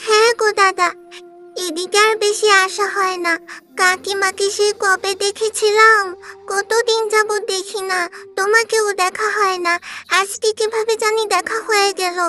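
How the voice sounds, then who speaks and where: A woman's voice: very high-pitched, little pitch movement, unhurried, sharp tone, exaggerated voice. A woman voicing an eager, pleading little village girl in a cartoon. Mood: friendly, chatty. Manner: pleading, childlike. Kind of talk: cartoon voice acting. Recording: music clearly behind the voice.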